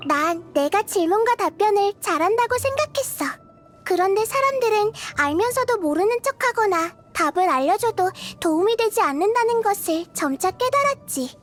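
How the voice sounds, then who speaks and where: Super high cute little girl goddess from a game, soft, thoughtful, curious, bit of music under it. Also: expressive, normal speed. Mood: tender, curious. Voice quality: small, cute, girlish. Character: tiny childlike goddess of wisdom, gentle, curious, thoughtful. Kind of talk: video game character voice lines.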